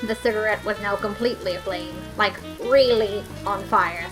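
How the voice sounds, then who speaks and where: A woman reading a fan story aloud as narrator, with lively, playful storytelling. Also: medium pitch, normal speed, over music, rough recording. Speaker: podfic reader.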